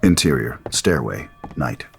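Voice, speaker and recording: Casting: male narrator for stage directions, deep register, dramatic storytelling over a clear musical score. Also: lively intonation, a little slow, over music.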